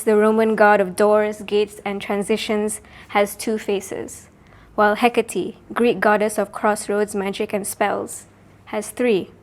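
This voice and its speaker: A woman from Sarawak, Malaysia, performing a spoken word poem in a measured, deliberate way, pausing between phrases. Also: medium pitch.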